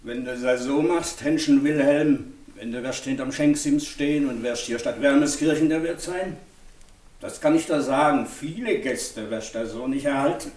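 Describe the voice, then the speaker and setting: An actor playing an outspoken, stubborn brother-in-law, talking colloquially and reproachfully in a German radio drama. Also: male voice, mid-range voice, normal speed, a little mellow, natural voice.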